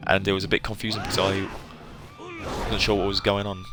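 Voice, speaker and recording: A man's voice: low voice, little pitch movement, brisk, background noise. A British man chatting casually to viewers on a live stream, rambling and hesitant, often trailing off. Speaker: hobby game streamer. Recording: music playing behind the voice. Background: music.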